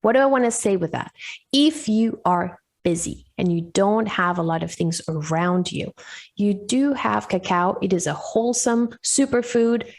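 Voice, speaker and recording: A woman with a Swiss-Colombian accent talks as a podcast guest. She explains things with enthusiasm, tossing in upbeat little asides. Her voice sits at a middle pitch. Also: lively intonation, moderate pace, creaky. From Switzerland. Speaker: guest nutrition expert. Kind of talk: casual podcast interview.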